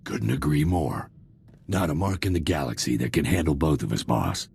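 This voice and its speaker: Casting: roguish, money-loving male alien partner, space opera game. Bottom-register gravelly voice, noisy ambience. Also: very deep voice, expressive, moderate pace, a little mellow, exaggerated voice. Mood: eager, roguish. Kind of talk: video game cutscene voice acting.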